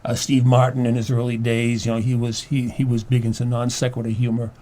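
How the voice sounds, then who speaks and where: An older man with a medium-pitched voice speaks as a guest in a conversational interview. He explains in a halting, stammering way, often repeating words as he goes. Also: completely flat delivery, warm tone. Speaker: studio guest, playwright and former teacher.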